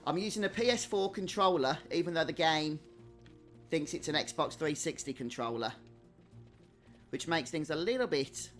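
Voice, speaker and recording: A male game streamer giving casual live commentary. His voice is a little higher than average, and he talks chattily to his viewers. Faint music plays behind him. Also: normal speed, fairly bright tone.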